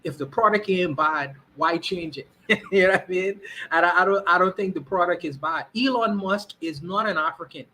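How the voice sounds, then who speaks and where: A man with a slightly high, bright voice speaks his mind in a relaxed chat. He trips over his words, restarts his sentences and keeps checking in with "you know what I mean". His tone is forceful and clear. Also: moderate pace. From Bahamas. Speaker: guest. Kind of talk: casual opinion talk.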